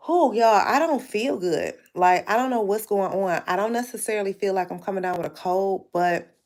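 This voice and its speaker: Gravelly, stuffy-nosed woman reading tarot. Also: mid-range voice, moderate pace, darkish tone. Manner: chatty, addresses viewers directly. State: stuffy, nasal from sinus trouble. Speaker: tarot reader hosting a livestream. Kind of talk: casual live tarot reading.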